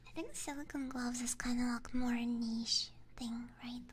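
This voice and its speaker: A woman hosting an ASMR livestream in a soft, breathy whisper, speaking gently and very close to a binaural microphone. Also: on the high side, steady tone, moderate pace, slight background noise. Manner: soft, gentle personal attention. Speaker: livestream host. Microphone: close binaural ear microphone.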